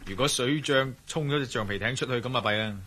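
An actor playing a resolute, self-assured ex-soldier adventurer who thinks aloud in a radio drama. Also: male voice, medium pitch, fast talker, pushed and bright. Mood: self-talking, relieved. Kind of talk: radio drama dialogue and spoken-thought monologue. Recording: radio drama with noisy ambience.

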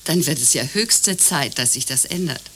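Bossy prim lady in a radio satire, coos then scolds, lowish voice, super animated, bit of noise. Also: normal speed. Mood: cosy, eager. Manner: theatrical, swinging from cooing to indignant. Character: overbearing prim hostess who coos, then scolds indignantly. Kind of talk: German radio play acting, satirical grotesque.

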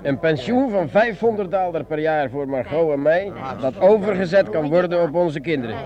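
An actor playing a brave, sceptical sergeant in a Dutch radio drama, hesitant and repeating himself when pressed. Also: male voice, fairly high pitch, animated, moderate pace, highs cut off, a crowd in the background, lightly acted. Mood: defiant, cool.

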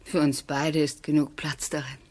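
Thoughtful aristocratic wife, guarded and intimate, in a low, breathy voice for period radio drama. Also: female voice, flat delivery, moderate pace, a little mellow. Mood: inviting, playful. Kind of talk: 1970s German radio drama acting.

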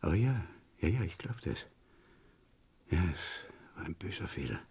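A very deep, heavily creaking male voice, broken and remorseful, halting as he confesses. He is short of breath and fading, on a noisy archive radio drama recording. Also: sing-song, a little mellow, full character voice. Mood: weak, remorseful. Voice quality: gravelly, breathy, faltering. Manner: halting, weak, stammering. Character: dying, broken man confessing his failed crime. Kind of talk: 1970s German radio crime play acting.

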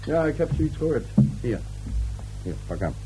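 Man acting brusque middle-aged father, swinging pitch. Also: big pitch swings, moderate pace, music in the background, lightly acted, low-quality recording. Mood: jovial. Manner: brusque, scoffing. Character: domineering, dismissive middle-aged father and husband. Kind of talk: radio play acting.

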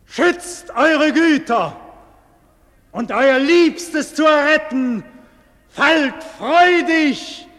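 An actor playing a proud, defiant condemned nobleman in a 1940s radio drama, declaiming solemnly and theatrically with a husky voice. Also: extremely high pitch, lively intonation, a bit bright, heavily acted. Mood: impassioned rallying. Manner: solemn, slow, theatrical declamation with wide dynamic swings. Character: condemned heroic nobleman facing execution, proud, visionary, defiant. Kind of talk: 1940s German radio drama acting, classical stage declamation. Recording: 1940s radio recording with long hall reverb.

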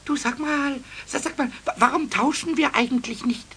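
A man voicing a cheeky, childish little male kobold in a children's radio play, squeaky and excitable, stubborn and whiny, tripping over repeated words. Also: normal speed, full character voice. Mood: scheming, eager. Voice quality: squeaky, piercing. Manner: excitable, stammering repetitions, whiny. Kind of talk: 1970s German children's radio play acting.

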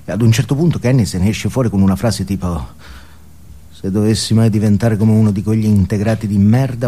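An actor playing a boastful, foul-mouthed biker burnout in a theatrical comic monologue, with a southern Italian, Neapolitan-tinged accent. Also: male voice, low-pitched, dark tone. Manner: ranting, profane, swings between outbursts and confiding storytelling. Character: foul-mouthed, hedonistic middle-aged male biker burnout, volatile and boastful. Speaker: stage actor.

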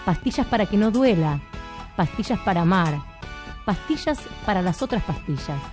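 A woman giving a measured, list-like scripted recitation in a produced radio piece. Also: mid-range voice, expressive, darkish tone, soft background music.

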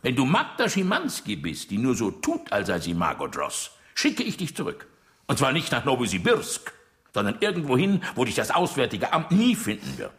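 A man's voice: mid-range voice, huge pitch swings, normal speed, a bit bright. An actor playing an older, menacing dictator in a radio drama, mocking and commanding as he interrogates. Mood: probing, threatening.

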